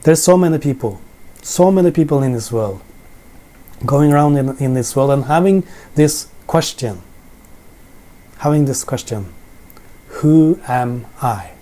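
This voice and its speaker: A man's voice: mid-range voice, expressive, a little slow, long pauses, mellow. A middle-aged Norwegian man with a Norwegian accent, teaching the Bible in English from his living room sofa, often repeating words as he searches for them. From Norway. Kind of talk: informal Bible teaching to camera. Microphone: camera in front, seated framing.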